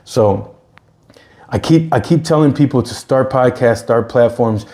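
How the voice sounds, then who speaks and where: A man's voice: mid-range voice, unhurried, dark tone. A man in his forties hosting a podcast, giving an earnest, repetitive pep talk in casual chat. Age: 40s. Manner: earnest, repeats himself, pep-talk style. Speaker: podcast host.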